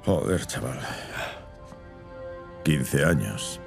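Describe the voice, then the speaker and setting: An actor playing a gruff older male rogue in a game cinematic, a wry, cautious mentor with a dry, laconic delivery. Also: rumbling low, expressive, very slow, over music, exaggerated voice. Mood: wry, fond. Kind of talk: game cinematic acting, Spanish dub.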